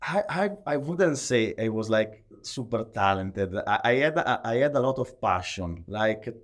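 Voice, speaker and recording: A man with an Italian accent and a fairly low voice talks as a podcast guest. His English is non-native and a bit halting, with lots of "like" as he pieces his answers together. The style is conversational. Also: animated. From Italy. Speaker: podcast guest, professional athlete.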